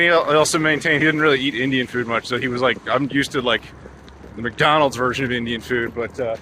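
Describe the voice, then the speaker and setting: A man from North America hosting a casual travel vlog, chatty and jokey, talking excitedly about food and games. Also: mid-range voice, a little hiss or hum. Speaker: vlog host.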